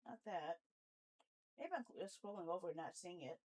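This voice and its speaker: A woman's voice: on the low side, lively intonation, moderate pace, dark tone, rough recording. A woman hosting a casual gaming livestream, talking in a rambling, candid, grumbling way. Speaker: video game livestreamer, host.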